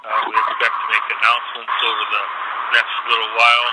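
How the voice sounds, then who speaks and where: A man speaking as a company executive on an investor conference call, halting and hesitant, over a noisy, crackly telephone line. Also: fairly low pitch, completely flat delivery.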